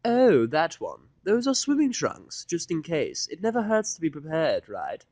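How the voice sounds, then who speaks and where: A man voicing a refined, gracious, fussy female cartoon pony fashion designer, speaking in a genteel, drawn-out, affected way. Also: a little high, wildly swooping pitch, a little mellow, no room sound, voice pushed up, heavily acted. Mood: helpful, gracious.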